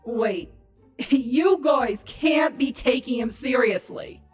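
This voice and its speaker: A woman's voice: fairly high pitch, animated, slow, soft background music. A woman voicing an indignant, sarcastic young female changeling in a dramatised story reading. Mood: exasperated. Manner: sarcastic, exasperated. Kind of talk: dramatised fan fiction reading.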